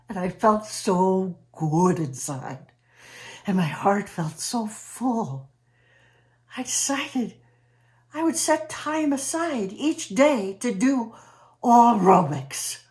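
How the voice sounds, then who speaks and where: A woman's voice: medium pitch, darkish tone. Woman acting eccentric, swooping, awestruck storyteller. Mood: exuberant, reflective. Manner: dramatic storytelling with emphatic swoops and pauses. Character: eccentric, awestruck woman named Trudy who chums with space aliens. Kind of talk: theatrical comic monologue.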